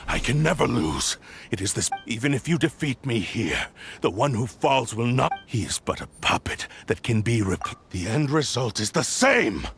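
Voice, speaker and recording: An actor playing the proud, vengeful spirit of a cursed sword in a video game, speaking in pompous, menacing, formal phrases. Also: male voice, medium pitch, sing-song, moderate pace, fairly bright tone. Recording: music under the voice.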